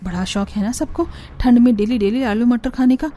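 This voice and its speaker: A woman's voice: fairly high pitch, moderate pace, dark tone, lightly acted. A woman playing a harried, theatrical young daughter-in-law in a cartoon family drama. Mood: exasperated, sarcastic. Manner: complaining, theatrical, sarcastic. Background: background music.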